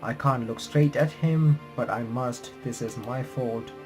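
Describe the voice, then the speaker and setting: A man reading visual novel text aloud with bits of his own commentary, halting and correcting himself as he goes. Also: medium pitch, darkish tone. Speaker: gaming reaction streamer. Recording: music playing behind the voice. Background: game music.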